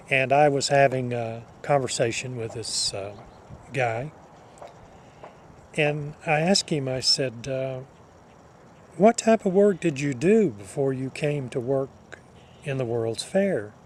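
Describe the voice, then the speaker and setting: An older man telling a personal reminiscence to listeners, speaking in a measured, deliberate way with halting phrasing. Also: mid-range voice, a little mellow, slight background noise. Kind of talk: personal reminiscence told to an audience.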